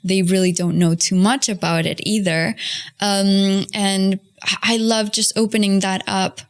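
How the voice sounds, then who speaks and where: A woman's voice: mid-range voice, steady tone, a little slow. Young woman, reflective and emphatic.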